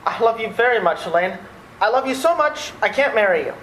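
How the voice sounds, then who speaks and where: A woman's voice: on the high side, animated, fairly quick, a bit bright. A woman playing an exasperated, sardonic young man in an old-time radio play. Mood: flustered, frantic. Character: exasperated, sardonic young male theatre critic. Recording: echoey room, faint noise.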